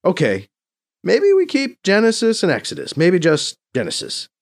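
A man's voice: sits high, huge pitch swings, normal speed, creaky, pitched above the performer's own voice, heavily acted. A man voicing an impatient, irreverent former pope in an audiobook, with a sarcastic, exclamatory manner. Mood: dismissive.

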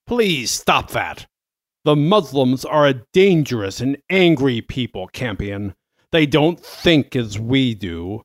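A man's voice: medium pitch, expressive, slow pace, no room sound, clean studio sound. A man playing a stern old cardinal in an audio drama, grave, suspicious and zealous, with a sermonizing manner.

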